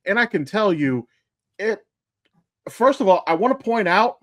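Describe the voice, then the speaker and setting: A man's voice: high-pitched, expressive, a little slow, a bit bright, dry recording, clean studio sound. An American man co-hosting a podcast in casual, rambling, sweary chat. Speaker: podcast co-host and voice actor.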